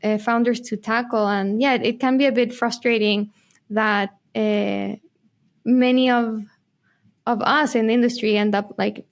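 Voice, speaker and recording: A woman from Chile hosting an interview, chatting casually with many fillers as she sets up her questions. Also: on the high side. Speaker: interviewer, host.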